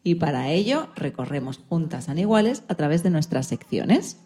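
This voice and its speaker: A woman presents a radio program with a low, soft-edged voice. Her delivery is scripted, as a host introducing sections. Faint music sits behind her. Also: sing-song, moderate pace, slightly rough.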